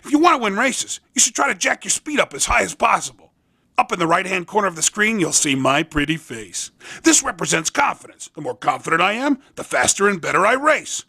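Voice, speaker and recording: Upbeat young hoverboard promoter dude from a game, super bright and swoopy, cocky slangy pitch. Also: male voice, big pitch swings, fairly quick, vocal fry, husky, full character voice. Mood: cocky, instructive. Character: Skid, upbeat young male hoverboard race promoter and racer. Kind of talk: scripted video game character dialogue.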